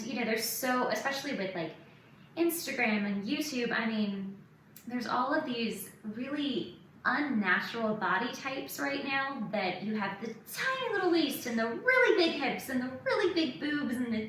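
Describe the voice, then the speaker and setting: A very echoey, slightly distant recording of a woman with a bright, forward voice. She talks as a podcast host in a candid, confessional way, stressing her points and sprinkling "like" through casual sentences. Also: high-pitched, sing-song, moderate pace. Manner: candid, emphatic, peppers speech with "like". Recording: roomy echo, distant mic, faint noise.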